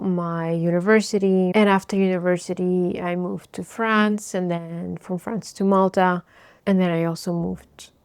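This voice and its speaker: A woman in her thirties, originally from Bulgaria, giving a thoughtful personal life update and often pausing to search for words. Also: on the low side, darkish tone, clear, resonant tone, no echo. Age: 30s. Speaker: vlogger.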